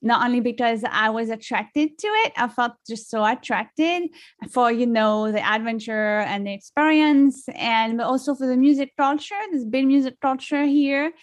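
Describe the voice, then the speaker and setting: A woman's voice: fairly high pitch, normal speed, no echo. A middle-aged woman with a French accent, chatting as a songwriter guest in an interview, with many fillers and restarts. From France. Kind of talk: casual interview chat.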